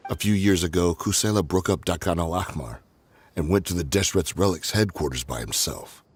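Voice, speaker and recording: Very raspy, deep male brigand. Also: brisk. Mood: grave. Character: gruff, loyal male desert brigand, aggrieved and solemn. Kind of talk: video game voice acting. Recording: music behind the voice. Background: game music.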